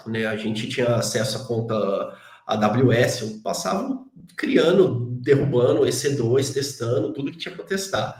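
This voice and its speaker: A man's voice: medium pitch, lively intonation, normal speed, a little mellow. A Brazilian man, a guest on an interview show, talking casually with a Brazilian Portuguese accent, tagging his sentences with constant little questions and poking fun at himself. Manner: chatty, constant "né" tag questions, self-deprecating. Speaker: guest, database engineer. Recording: roomy echo.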